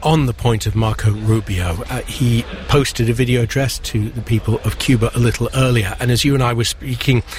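A man anchoring a news broadcast in a studio, questioning a correspondent in a clear, conversational way. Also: mid-range voice, fairly quick, hardly any pauses.

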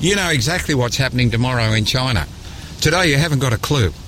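A man's voice: medium pitch, animated, quick pace, fairly bright tone, slight background noise. An older man, a businessman talking informally in an interview in a blunt, emphatic way.

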